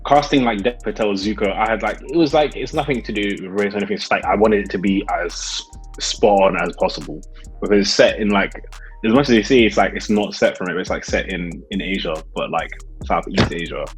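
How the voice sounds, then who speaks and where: A man's voice: lowish voice, a little fast, soft background music, poor audio. A man co-hosting a podcast, complaining in a repetitive, exasperated way during casual debate. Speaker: podcast co-host. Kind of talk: casual group debate about films.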